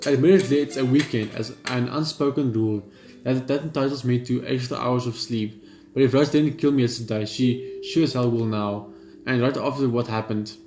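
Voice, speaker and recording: A man's voice: mid-range voice, moderate pace, slight background noise. Man reading game text in a roomy room. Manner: steady narrating read with blunt side remarks. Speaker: gamer. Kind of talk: reading a visual novel aloud.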